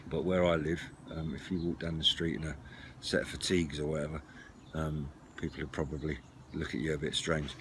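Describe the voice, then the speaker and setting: Low-voiced man, casual outdoor gear walk-through. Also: flat delivery, a little fast, a bit bright. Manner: hesitant, informal, explanatory. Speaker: presenter of a CB radio and camping gear channel. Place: outdoors at a wooden garden table by a house. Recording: clear background noise, outdoor clatter of handled gear.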